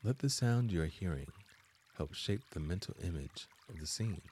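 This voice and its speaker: A man narrating a scripted guided meditation as host, in measured, deliberate phrases with frequent pauses. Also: sits low, animated, darkish tone, a little hiss or hum.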